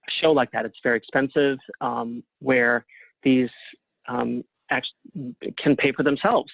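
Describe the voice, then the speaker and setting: A man speaking as an interview guest in casual conversation, with a reflective, halting way of phrasing things. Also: medium pitch, no room sound. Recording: thin phone-like line.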